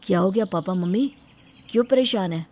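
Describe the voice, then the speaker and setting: Woman playing earnest mid-pitched pleading family member. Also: a little fast, darkish tone, natural voice. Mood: reassuring.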